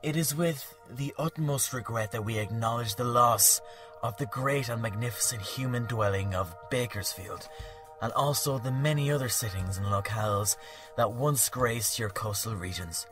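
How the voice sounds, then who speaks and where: A man voicing a gentle, stately goddess queen in an audiobook reading, soft and measured. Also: medium pitch, music in the background, natural voice. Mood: gentle, formal. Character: gentle regal goddess queen, female, ageless.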